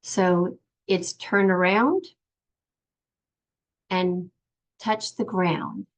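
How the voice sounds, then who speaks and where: An older woman patiently teaching young children in simple, step-by-step phrases, in the gentle style of a preschool lesson. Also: on the low side, fairly even intonation, very slow. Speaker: children's sign language and song teacher.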